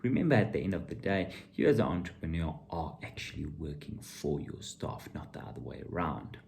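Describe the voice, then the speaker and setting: A man's voice: very deep voice, sing-song, brisk, creaky, darkish tone. A middle-aged man giving an informal motivational monologue to viewers, speaking bluntly and with emphatic, opinionated repetition. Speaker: vlogger and entrepreneur giving motivational talk.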